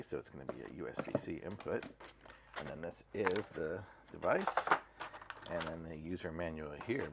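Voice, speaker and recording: A man's voice: a bass voice, vocal fry, noisy background. A man giving a casual, off-the-cuff unboxing review as the host of a product channel.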